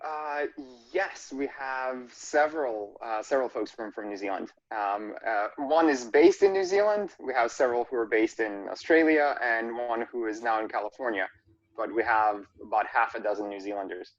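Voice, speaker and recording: A man's voice: medium pitch, moderate pace, dull audio. A man born in Serbia, a company CEO, answering interview questions in a friendly, chatty way.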